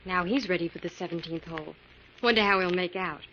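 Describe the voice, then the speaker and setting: A woman's voice: fairly low pitch, fairly quick, natural voice. A woman playing an earnest, inquisitive detective's assistant in an old radio drama. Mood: eager. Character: earnest, eager young female detective's assistant. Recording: dull lo-fi old radio, faint noise.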